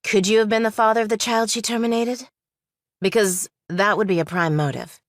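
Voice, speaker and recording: A woman acts a shrewd young female law student in audiobook thriller dialogue. She is direct and probing, with a medium-pitched voice in a dry recording. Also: brisk, dry recording, close to an everyday voice. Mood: probing, skeptical. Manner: direct, questioning, analytical.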